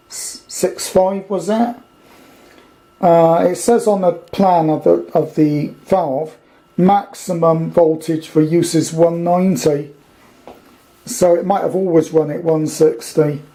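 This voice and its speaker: A man's voice: fairly high pitch, warm tone. A middle-aged British man giving a casual, unscripted hands-on demonstration, hesitantly thinking aloud as he goes. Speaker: hobbyist radio restorer presenting a video. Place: indoors in a small domestic kitchen. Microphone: handheld camera's built-in microphone.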